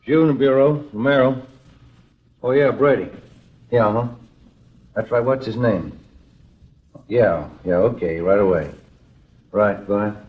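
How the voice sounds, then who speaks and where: An actor playing a low-key juvenile police detective, mild and plain-spoken, in an old radio crime drama. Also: male voice, unhurried, pauses often, breathy edge. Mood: businesslike. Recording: dull noisy old radio recording.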